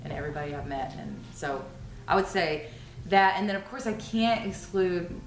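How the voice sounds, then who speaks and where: A woman's voice: on the low side, lively intonation, moderate pace, a little hiss or hum. A woman from Dallas, a songwriter chatting casually in an interview, talking freely and restarting her sentences with "you know". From Dallas, Texas. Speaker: songwriter guest. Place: recording studio. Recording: roomy studio sound.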